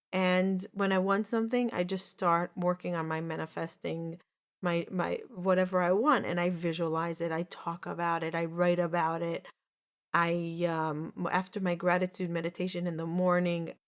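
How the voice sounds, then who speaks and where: A woman in her forties telling a personal story in an earnest, repetitive way on a podcast, often restarting her sentences. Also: on the low side. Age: 40s. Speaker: podcast host.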